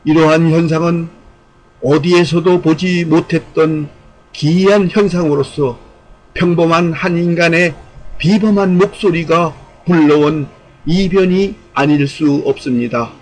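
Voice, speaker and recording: A man reading a scripted commentary as narrator, in formal, measured phrasing. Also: on the high side, rough recording.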